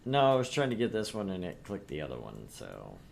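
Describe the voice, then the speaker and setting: A man's voice: deep voice, wildly swooping pitch, brisk, vocal fry, noisy background. A man co-hosting a casual let's play, reading game menus aloud with wry commentary. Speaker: gaming co-host.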